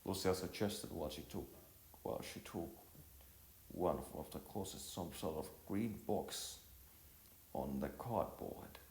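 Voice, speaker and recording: Low-voiced man narrating in a roomy echo. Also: gravelly, darkish tone, a little hiss or hum. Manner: halting, word-repeating delivery. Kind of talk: reading an audiovisual novel aloud. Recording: roomy echo with music behind. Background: music.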